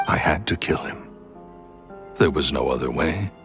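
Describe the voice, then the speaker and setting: An actor playing an embittered, scornful middle-aged magician's apprentice turned murderer and thief in a video game, with brooding theatrical delivery. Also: male voice, very deep voice, unhurried, fairly bright tone, soft background music. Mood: grim. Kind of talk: dramatic video game voice acting.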